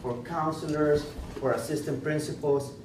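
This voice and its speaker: A man's voice: fairly high pitch, steady tone, moderate pace. A man with a Spanish accent, a school principal giving interview advice in an informal workshop, repeating words and restarting often. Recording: echoey room, far from mic, noisy.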